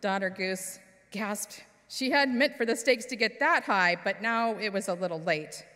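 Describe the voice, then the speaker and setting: A woman minister reading a children's story expressively, with dramatic pauses, in a large church hall. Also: mid-range voice, fairly bright tone. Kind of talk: expressive storytelling for all ages. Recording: echoey hall with long reverb.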